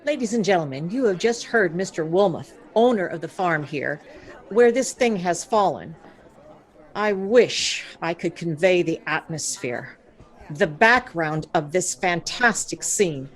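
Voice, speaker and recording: A woman's voice: lowish voice, expressive, a little slow, some chatter behind. A woman playing an on-scene radio reporter describing a live event in a radio drama. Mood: reporting.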